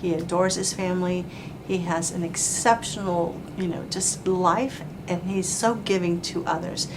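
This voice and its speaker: A woman hosting an interview, warm and formal, full of praise and asides. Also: fairly low pitch, fairly even intonation, measured pace, background noise. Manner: effusive, praising, fond of "you know" and "like". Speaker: interview host, chamber of commerce president.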